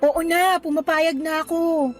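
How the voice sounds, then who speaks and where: High-voiced young female teacher, played by an actress. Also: measured pace. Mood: shyly smitten. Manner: expressive, code-switching with English phrases. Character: earnest, outspoken young female schoolteacher in love. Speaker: radio drama actor. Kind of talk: Tagalog radio drama acting. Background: faint background music.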